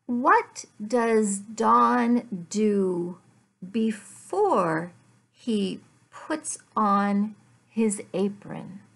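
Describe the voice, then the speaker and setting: A middle-aged woman, an English teacher, giving a lesson in deliberate, word-by-word phrases with repeated questions and answers. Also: mid-range voice, vocal fry, fairly bright tone. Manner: deliberate, word-by-word enunciation for learners. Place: small furnished room at home.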